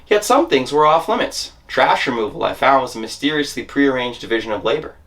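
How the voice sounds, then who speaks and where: A man's voice: mid-range voice, expressive, brisk, fairly bright tone. A man reading a memoir as a dramatic monologue, telling the story in scripted first person with theatrical emphasis.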